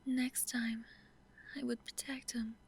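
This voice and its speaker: An actress playing a gentle grieving mother in a game, speaking in a breathy, halting near-whisper. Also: fairly high pitch, flat delivery, slight background noise. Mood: sorrowful, subdued. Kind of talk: dramatic monologue in a game.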